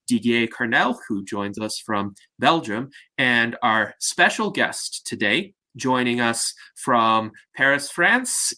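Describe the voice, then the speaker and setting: Guy hosting an online panel, formal announcer-like intro, really bright forceful voice. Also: mid-range voice. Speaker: host and moderator. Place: online video meeting.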